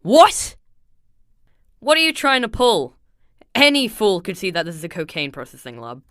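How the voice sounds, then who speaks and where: A woman's voice: medium pitch, wildly swooping pitch, moderate pace, a bit bright, no room sound, clean studio sound. A woman voicing a brash, impatient teenage boy sidekick in a comic dub, cocky, questioning and easily indignant.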